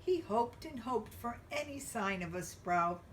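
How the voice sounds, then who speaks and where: A woman reading a picture book aloud to young children, expressively and with drawn-out emphasis. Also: on the high side, lively intonation, far from the mic, a little hiss or hum. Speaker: children's storybook reader.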